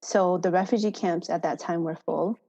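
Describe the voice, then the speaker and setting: A woman's voice: lowish voice, fairly even intonation, moderate pace, warm tone, muffled recording. A woman with an American accent speaking as a podcast guest in an informal, chatty interview style. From Thailand and United States. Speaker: podcast guest, farmer education manager. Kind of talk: conversational interview.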